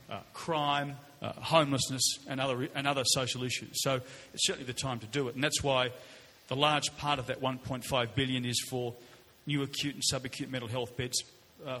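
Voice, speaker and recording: A middle-aged Australian man with a loud voice, answering as a politician at a public forum, repeating words haltingly. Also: medium pitch. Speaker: politician on a panel. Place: large indoor hall, public forum. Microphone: shared event microphone. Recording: echoey hall with background noise.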